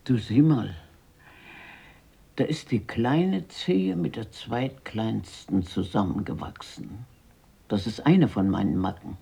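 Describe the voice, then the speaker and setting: An actress playing a blunt, fearless, warm-hearted old grandmother in a radio drama, brisk and outspoken, scolding yet affectionate. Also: a bass voice, lively intonation, gravelly, slightly rough, heavily acted. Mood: confiding. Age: older.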